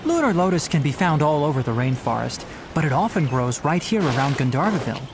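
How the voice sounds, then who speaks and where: A man's voice: fairly high pitch, big pitch swings, fairly quick, background noise. A man playing a young forest ranger in a video game, precise, earnest and a little prim, explaining things like a botanist guide. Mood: lecturing. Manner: precise, instructive, slightly prim. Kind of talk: scripted video game voice acting. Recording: game music behind the voice.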